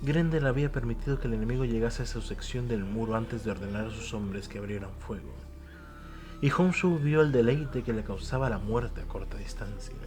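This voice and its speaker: A man's voice: medium pitch, brisk, darkish tone. Theatrical male narrator over music. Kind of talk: dramatic scripted audiobook narration. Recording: music bed behind the voice.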